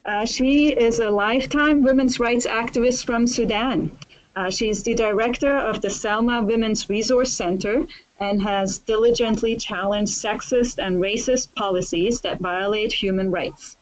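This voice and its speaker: A woman moderating a panel, introducing guests in a measured, somewhat hesitant way. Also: fairly high pitch, steady tone, low-quality recording.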